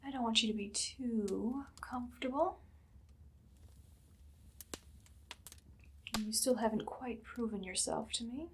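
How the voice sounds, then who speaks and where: A woman playing a haughty evil queen in an ASMR roleplay, speaking in a soft, breathy, often whispered voice with imperious, sweetly sinister deliberation. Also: on the high side, moderate pace, slight background noise, close to an everyday voice. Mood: condescending, commanding. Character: evil haughty queen, casually menacing, commanding a captive servant.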